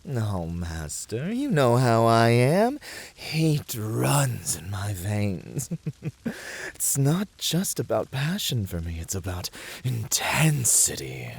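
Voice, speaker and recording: Casting: male actor as flamboyant incubus, teasing and dramatic, slow-paced, wildly swooping pitch. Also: huge pitch swings, creaky, husky, heavily acted. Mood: teasing, flirty. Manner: teasing, dramatic, flirtatious delivery. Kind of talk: scripted flirty ASMR roleplay.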